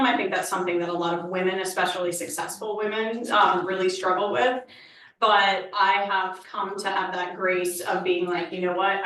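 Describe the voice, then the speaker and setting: A woman's voice: mid-range voice, pushed and bright, a little hiss or hum. Woman, panelist, reflective, earnest, distant mic, hall reverb. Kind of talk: panel discussion answer. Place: community center hall. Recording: echoey hall from far off.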